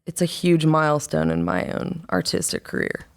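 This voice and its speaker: A young woman, an artist, talking casually in an interview, rambling and halting with many fillers and restarts. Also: low voice, big pitch swings, gravelly, clean tone. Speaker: album artist, interview guest. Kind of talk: casual conversation.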